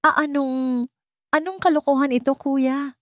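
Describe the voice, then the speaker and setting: A woman's voice: high voice, fairly even intonation, normal speed, no echo, studio quality, voice pushed up, close to an everyday voice. A woman voicing a scheming young female villain in a story, shaky and protesting defiantly. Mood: shaken.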